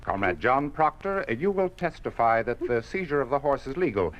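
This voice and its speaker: An actor playing a cold, rigid communist state official, stern and commanding, in an old time radio drama. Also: male voice, mid-range voice, big pitch swings, moderate pace, lightly acted. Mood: officious threat. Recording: old radio recording, muffled and noisy.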